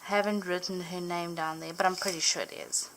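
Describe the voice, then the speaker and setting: A young woman whose first language is Afrikaans, chatting casually as she flips through her journaled Bible, full of little fillers and gentle enthusiasm. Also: on the low side, fairly even intonation, a little fast, background noise. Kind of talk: casual show-and-tell narration. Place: indoors at a table, hands over an open book.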